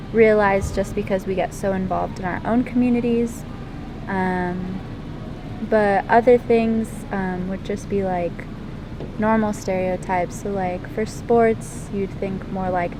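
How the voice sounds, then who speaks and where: A teenage girl answering interview questions at a middle pitch, stopping often to think with ums and likes. A loud, steady rumble runs under her voice throughout. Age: teens. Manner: hesitant, many ums and likes. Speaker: interviewee, lifeguard and swimmer. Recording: loud rumbling background noise.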